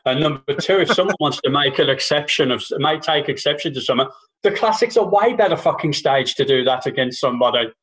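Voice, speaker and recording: A man's voice: a little high, animated, brisk, a bit bright, rough recording. A man co-hosting a casual political chat podcast, talking in long sweary runs full of false starts and restarts. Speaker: podcast co-host and stand-up comedian. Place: New Zealand.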